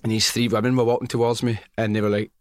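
A man's voice: fairly low pitch, little pitch movement, fast talker, noisy background. A Scottish man hosting a podcast interview, chatty and jokey, laughing easily as he talks. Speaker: podcast host. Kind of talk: casual interview chat.

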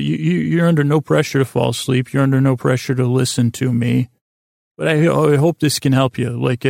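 A man with a gravelly, creaky voice hosts a bedtime show in a calm, lulling manner. His delivery stays flat and even as he meanders, hesitates and doubles back on his phrases. The sound is dry, with no room around it. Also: mid-range voice, no room sound. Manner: hesitant, halting, circles back and repeats himself. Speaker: host of a sleep podcast. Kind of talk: meandering, rambling bedtime monologue.

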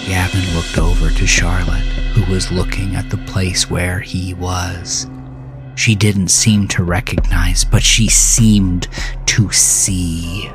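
A man narrates a horror audio story with dramatic intensity. His voice sits fairly low and moves very slowly and deliberately, with music playing clearly underneath. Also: level tone.

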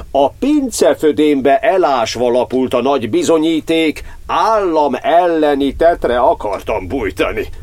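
Deep-voiced, solemn persecuted cardinal. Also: male voice. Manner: declamatory, elevated, measured verse delivery. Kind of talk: theatrical verse monodrama for radio.

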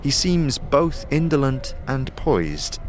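A man's voice: medium pitch, very animated, slow. A man narrating a scripted fantasy audio drama in an intense, theatrical way. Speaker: narrator and performer. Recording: clear music bed behind voice.

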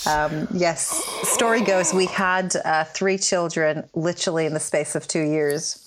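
A woman's voice: sits low, unhurried, background noise. A woman whose first language is Hebrew, a podcast guest chatting casually and laughing at herself often. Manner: self-deprecating, laughs often. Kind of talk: casual chat.

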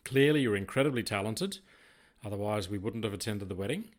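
An older Australian man co-hosting a casual podcast, talking in a rambling, deliberate way. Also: fairly low pitch. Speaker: podcast co-host.

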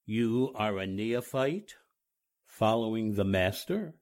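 An actor playing a solemn, ominous cult servant at a temple door in a radio drama, with a portentous manner. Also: male voice, lowish voice, expressive, slow pace, darkish tone.

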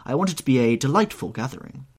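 A man's voice: mid-range voice, big pitch swings, quick pace, voice pushed up. A man voicing a fussy old society hostess. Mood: gushing. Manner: gushing, bossy, matchmaking.

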